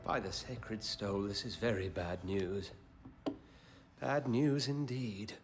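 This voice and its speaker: A man's voice: on the low side, slow, over music. A man playing a genteel, earnest hospital administrator in a video game, weary, formal and theatrical. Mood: worried. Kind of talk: video game dramatic acting.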